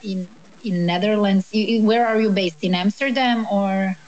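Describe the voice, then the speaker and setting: A woman's voice: mid-range voice, moderate pace, a little mellow. Woman interviewer on muffled audio. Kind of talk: casual interview.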